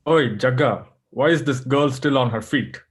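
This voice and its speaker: A man's voice: mid-range voice, normal speed, close to an everyday voice. A man reading the part of an impatient, menacing schemer in a play script. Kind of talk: table read of a play script.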